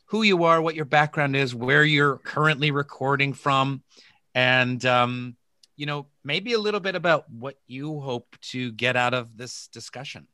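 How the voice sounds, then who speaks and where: A man hosting a podcast over a video call, enthusiastic and halting, with many fillers. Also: medium pitch, sharp tone, no echo. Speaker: podcast host. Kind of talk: casual video call chat.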